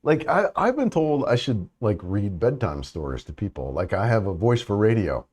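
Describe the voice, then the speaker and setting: A man's voice: fairly low pitch, very animated, normal speed, a little mellow, breathy edge. A middle-aged man rambling through a casual live stream, thanking viewers for comments, with lots of fillers and restarts. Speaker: vlogger hosting a live chat.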